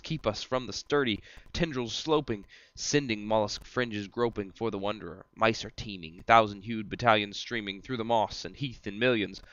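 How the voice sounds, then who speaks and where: Boisterous devil guide for verse drama, male reader: commanding, declamatory, forceful bright voice, close dry sound. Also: fairly low pitch, clean tone, dry recording, highs cut off. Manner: theatrical, declamatory. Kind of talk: amateur dramatic reading of verse.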